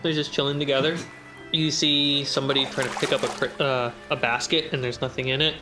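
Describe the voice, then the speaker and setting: A man's voice: medium pitch, flat delivery, a little fast, music in the background. A man casually narrating a tabletop role-playing game as the game master.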